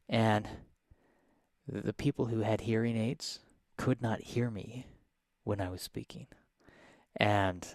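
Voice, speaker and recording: Soft-spoken man teaching piano. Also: on the low side, dry recording, studio quality. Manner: friendly, explaining step by step. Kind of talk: live-streamed piano lesson.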